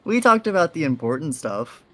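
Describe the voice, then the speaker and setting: A man's voice: a little high, big pitch swings, brisk. A man playing a friendly, easygoing leader of a graffiti crew in an audio drama, casual and a little hesitant. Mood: awkward, hesitant. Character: Toast, friendly easygoing faceless graffiti artist, male group leader.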